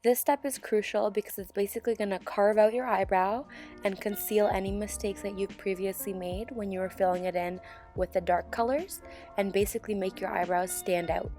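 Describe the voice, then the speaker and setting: A young woman, hoarse and sick with a sore throat, calmly talking through a beauty tutorial as a voiceover. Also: medium pitch, brisk, faint music behind. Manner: calm step-by-step instruction. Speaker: beauty channel host. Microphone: voiceover mic.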